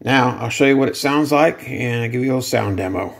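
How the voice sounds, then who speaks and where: Man, gravelly fry in the voice, casual demo style, plainspoken, halting technical explanations. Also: medium pitch. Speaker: guitar amp builder demonstrating a modification. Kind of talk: casual hands-on demonstration.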